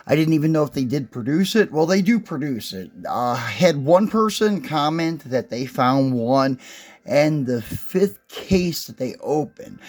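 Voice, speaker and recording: Slightly hoarse chatty man. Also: mid-range voice. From West Virginia. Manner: chatty, rambling, folksy. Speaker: die-cast car collector and channel host. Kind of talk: casual hands-on product review.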